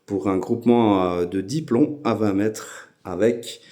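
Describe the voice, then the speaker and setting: A Belgian man with a Belgian French accent, hosting a casual vlog and talking with many hesitant filler pauses. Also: on the low side, dark tone. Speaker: YouTube channel host.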